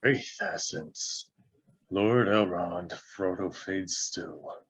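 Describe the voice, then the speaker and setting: A man's voice: deep voice, extremely slow, breathy edge, heavily acted, poor audio. A man playing a grave, urgent wise counsellor in a fantasy table read, dramatic and portentous. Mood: grave, worried.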